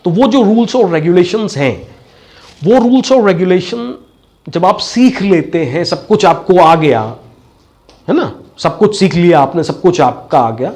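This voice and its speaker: A man's voice: high-pitched, a little mellow. A man with an Indian accent giving a bilingual classroom lecture as a voice and accent teacher, didactic and repeating key phrases.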